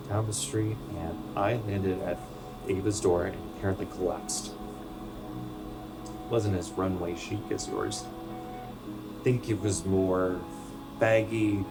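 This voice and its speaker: Man acting troubled young American athlete over loud music. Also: on the low side, close to an everyday voice, low-quality recording. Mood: halting, sad. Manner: halting, confessional, sarcastic, profane. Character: troubled, injured young soccer player, bitter and self-deprecating. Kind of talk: naturalistic radio play acting.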